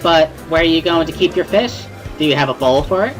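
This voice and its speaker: A woman voicing a bubbly, excitable, teasing teenage schoolgirl in an amateur visual novel reading. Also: low voice, fairly quick, soft background music. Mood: cheerful, teasing. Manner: playful, exclamatory, repeats words, laughs loudly.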